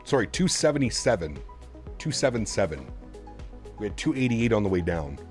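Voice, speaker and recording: A man's voice: on the low side, big pitch swings, moderate pace, faint music behind. Chatty man thinking aloud to his viewers. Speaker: flight sim streamer. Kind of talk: casual live-stream commentary while programming a flight computer.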